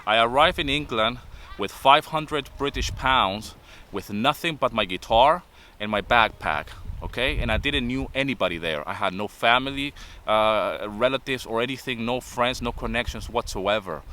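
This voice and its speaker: Vlogger, 50s, Venezuelan accent, earnest motivational delivery, bright timbre. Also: male voice, mid-range voice, expressive, moderate pace. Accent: Venezuelan Spanish. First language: Spanish. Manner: earnest, repeats points with "okay?". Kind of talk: motivational talk to camera.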